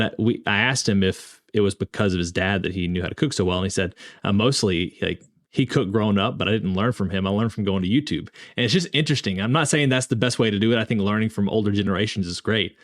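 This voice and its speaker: Guy hosting a business podcast, lowish voice, really dry close sound. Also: quick pace, no room sound. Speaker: podcast host interviewing a business owner. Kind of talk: conversational interview and scripted sponsor reads.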